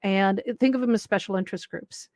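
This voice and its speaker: A woman's voice: medium pitch, fairly quick, darkish tone, no echo, studio quality. A woman hosting an event, introducing a panel to an audience in an enthusiastic, emphatic way. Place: live virtual reality event, voice picked up close on a mic.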